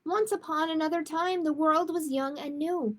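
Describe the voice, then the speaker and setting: A woman speaking as a children's storytime host, in a measured manner suited to picture books and simple chat with families. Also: high voice, slight background noise. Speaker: children's librarian hosting storytime. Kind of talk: picture book read-aloud, then casual announcements.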